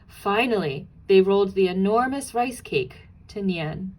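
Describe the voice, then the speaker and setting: A woman reads a children's story aloud at a slow, deliberate pace. Her pitch is middling, and she leans expressively on key and repeated words for dramatic effect. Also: expressive, darkish tone, a little hiss or hum, low-quality recording. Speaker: storybook narrator.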